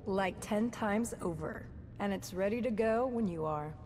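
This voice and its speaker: A woman's voice: medium pitch, unhurried, a little mellow, slight background noise, lightly acted. Woman as a tough agent in long reverb. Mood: confident. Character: tough, candid female agent with a conscience. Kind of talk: video game voice acting.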